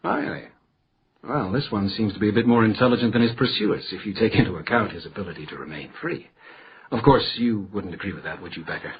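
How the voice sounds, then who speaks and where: A man's voice: on the low side, quick pace, muffled recording, lightly acted. A man playing an exacting, condescending magistrate in a radio crime drama, curt and formal in his speech. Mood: impatient, sarcastic. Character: imperious, exacting male magistrate, sarcastic and impatient. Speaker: actor in a radio detective drama.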